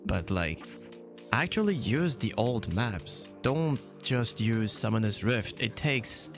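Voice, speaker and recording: A man's voice: on the low side, lively intonation, slow pace, soft background music. A man talking casually and bluntly on a gaming podcast, swearing freely. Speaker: podcast panelist.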